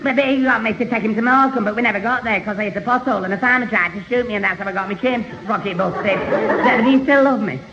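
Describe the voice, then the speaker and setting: A man's voice: sits high, quick pace. A man playing a hapless, muddled, lovestruck young man who stammers and whines in a radio sitcom. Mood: plaintive. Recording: noisy, dull old radio recording in hall.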